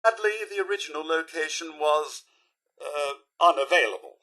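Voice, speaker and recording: A man's voice: on the high side, moderate pace, pushed and bright. A man with a British accent voicing a prim, fussy robot butler in a video game, polite and sardonic, with theatrical, formal delivery. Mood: polite, chipper. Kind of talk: video game character voice lines.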